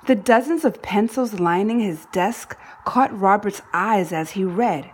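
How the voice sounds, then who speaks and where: A woman reading a children's book aloud in an expressive narrating style, phrasing deliberately and leaning on key words for drama. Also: medium pitch, a little hiss or hum. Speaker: storybook reader.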